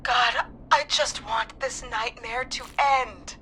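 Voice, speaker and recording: Bright-voiced, frightened pleading woman. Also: fairly high pitch, steady tone, slow pace, slight background noise. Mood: distressed, pleading. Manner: distressed, earnest. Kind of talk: video game voice acting.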